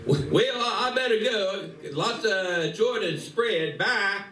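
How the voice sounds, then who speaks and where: A man's voice: sits high, slow, pushed and bright, full character voice. A man playing a vain, image-obsessed animal celebrity in a radio play, bickering and sometimes flustered. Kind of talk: live convention radio play reading. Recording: distant, echoey convention room.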